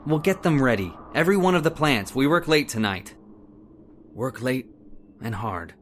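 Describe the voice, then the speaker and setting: Male actor as obsessive, bitter chemist; mid-pitched, brooding, repetitive, old-time radio horror. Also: lively intonation, normal speed, a little hiss or hum, natural voice. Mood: curt, domineering. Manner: broken, repetitive brooding and curt commands.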